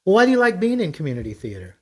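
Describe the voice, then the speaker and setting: A man's voice: high voice, wildly swooping pitch, racing. An older man hosting a casual podcast interview, chatty and encouraging with small affirming interjections. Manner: chatty, affirming with frequent backchannels. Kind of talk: casual radio-style interview chat.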